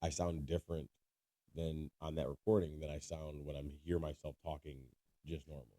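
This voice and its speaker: A man's voice: very deep voice, level tone, a little fast, mellow. A man co-hosting a casual podcast, teasing his friend, laughing often and explaining things at length. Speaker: podcast co-host. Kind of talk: casual chat.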